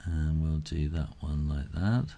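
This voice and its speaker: A middle-aged man giving a casual step-by-step drawing tutorial, unhurried and thinking aloud as he works. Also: extremely low pitch, gravelly, dark tone, a little hiss or hum.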